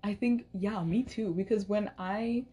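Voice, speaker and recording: A young woman co-hosting a casual podcast from her dorm room, with a low, soothing voice and a relaxed, agreeable manner. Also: unhurried. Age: young adult. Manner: relaxed, agreeable, many backchannels. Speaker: podcast co-host. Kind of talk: casual chat.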